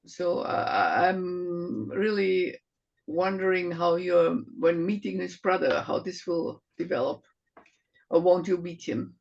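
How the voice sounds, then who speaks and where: A woman's voice: on the low side, slow, vocal fry, a little mellow, highs cut off. A woman in a casual group conversation, offering advice with some hesitant fillers. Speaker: discussion group member.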